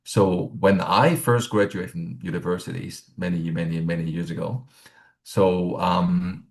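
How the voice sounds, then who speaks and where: A middle-aged man from Hong Kong explains career options like a relaxed instructor, with a very low, gravelly voice. He rambles and keeps checking in with "right?" as he goes. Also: unhurried. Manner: rambling, many fillers and "right?" tags. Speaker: accounting exam instructor. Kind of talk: casual teaching talk.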